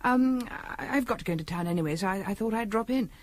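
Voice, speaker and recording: An actress playing a polite, flustered middle-class housewife in a 1970s radio drama, hesitant and stammering. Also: female voice, medium pitch, lively intonation, fast, close to an everyday voice. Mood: hurried, evasive. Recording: noisy old radio recording.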